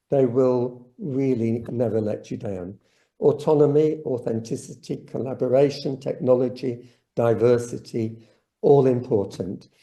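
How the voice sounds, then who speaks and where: A man delivering a keynote over a video call, his voice deep-toned and mellow. He lectures in an enthusiastic, off-the-cuff way and often trips into restarts. His pitch sits in an ordinary middle range for a man. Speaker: keynote speaker. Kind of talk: academic conference presentation. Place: home or office, online video call. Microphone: computer mic on a video call.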